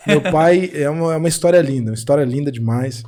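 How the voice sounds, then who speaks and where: Brazilian man in his thirties, fairly high voice. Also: fast talker, dark tone. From born in Argentina to Brazilian parents. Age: 30s. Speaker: podcast guest, pastor. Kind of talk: casual personal storytelling.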